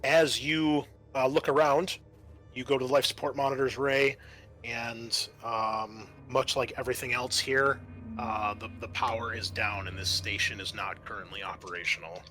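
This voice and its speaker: Male game master, bright and clear-cut tone, medium pitch, informal descriptive narration for a tabletop roleplaying session. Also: steady tone, moderate pace, faint music behind.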